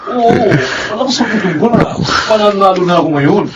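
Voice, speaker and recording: Wildly swooping, high-voiced arrogant villain. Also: male voice, expressive, a little fast, far from the mic, dull audio, very noisy. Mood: defensive. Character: arrogant, violent gambling cousin, a male villain. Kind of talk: melodramatic radio drama acting.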